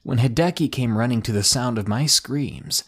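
A man narrating true ghost stories as a podcast host, in a measured, suspenseful storytelling manner. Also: medium pitch, husky. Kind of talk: scripted horror story narration.

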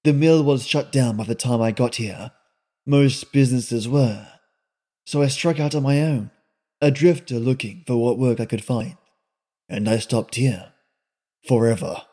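A man reading aloud and voicing a sullen, weary young drifter who speaks in a low, rustic way. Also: unhurried, mellow, natural voice. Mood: weary, somber. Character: sullen young male drifter, weary beyond his years.

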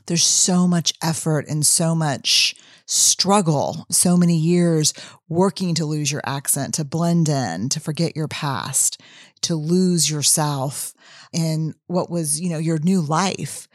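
A woman podcast host from Texas who asks gentle, thoughtful questions in a measured way. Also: low-pitched, dry recording, studio quality. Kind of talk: interview questions.

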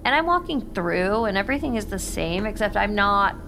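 An actress playing a nervous young American woman confiding something intimate in a halting, self-conscious, naturalistic audio drama monologue. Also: medium pitch, very animated, sharp tone, slight background noise. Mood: intimate, absorbed. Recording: music under the voice. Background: music.

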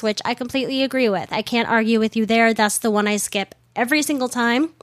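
A woman's voice: on the high side, a bit bright, no echo, clean studio sound. A woman hosting a casual history podcast, chatty with wry asides, her voice slightly worn from being a little sick. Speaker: podcast host.

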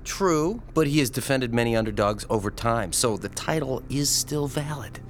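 A man's voice: medium pitch, animated, normal speed, soft background music, natural voice. A man playing a friendly, enthusiastic comic book fan with a teasing, keen manner in a radio drama. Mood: eager debating.

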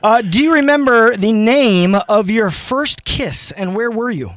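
A man co-hosting a radio show, running a quiz game with energetic banter. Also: high voice, a little slow, dry recording.

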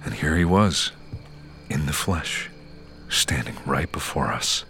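Audio drama lead: haunted, weary man narrating, very low voice, solemn and measured, music underneath. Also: expressive, bright, forceful tone, exaggerated voice. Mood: somber. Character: weary, haunted man recounting his perilous journey. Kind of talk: audio fiction narration and acting.

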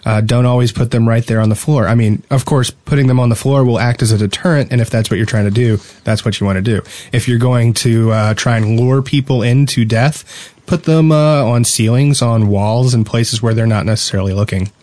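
A man's voice: medium pitch, steady tone, a little fast, a little mellow, no room sound. An American man co-hosting a gaming podcast, chatting casually in a joking, talkative way. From New Jersey, United States. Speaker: podcast co-host.

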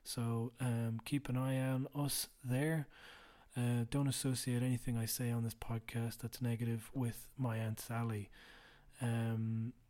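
A tired man hosting a podcast late at night, speaking low and quiet in a rambling, self-deprecating way. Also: little pitch movement, a little slow. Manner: rambling, self-deprecating, drops to a mutter at times. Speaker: podcast host. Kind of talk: casual solo housekeeping chat.

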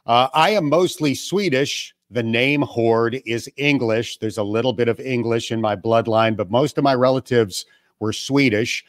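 A man from western New York who is a radio play-by-play broadcaster, answering interview questions in a measured, self-deprecating way from his family room. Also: mid-range voice, no room sound, studio quality. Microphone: remote call from home.

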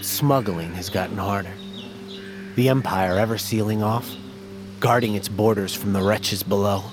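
A man's voice: fairly low pitch, normal speed, background noise, lightly acted. An actor playing a stern, proud smuggler guide in an audio drama, sardonic and formal in his phrasing. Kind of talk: dramatic audio fiction acting.

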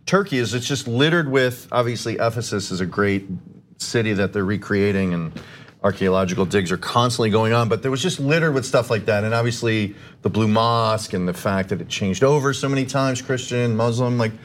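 A man's voice: fairly low pitch, brisk, a little hiss or hum. A man chatting as a podcast guest, rambling with enthusiasm and filling his talk with "like" and restarts. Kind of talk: casual chat.

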